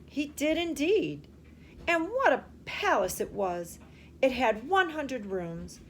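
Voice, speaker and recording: Excitable, secretive old grandpa, read by a woman, lively pitch swings, suspenseful pauses, storybook read-aloud. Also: a little hiss or hum, lightly acted. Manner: slow, dramatic pauses for suspense.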